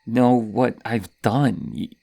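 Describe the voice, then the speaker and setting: Man acting a halting, gravelly masked slasher killer. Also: mid-range voice, steady tone, dark tone, no echo. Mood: bitter, wary. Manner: stammering, halting, breathy sighs and nervous laughs. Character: flustered, scarred, masked male slasher killer, lonely and insecure. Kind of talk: ASMR horror roleplay, intimate monologue to listener.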